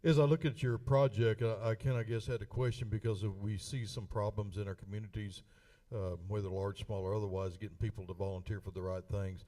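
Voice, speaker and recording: A man's voice: on the low side, fairly even intonation, brisk, dark tone, far from the mic. A man judging a youth contest, folksy and stumbling over his restarts as he asks his question. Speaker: contest judge.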